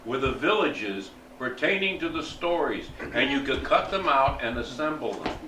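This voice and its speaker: A man's voice: mid-range voice, big pitch swings, moderate pace. A man in the audience at a talk, sharing recollections informally. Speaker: audience member. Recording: distant, echoey, noisy room.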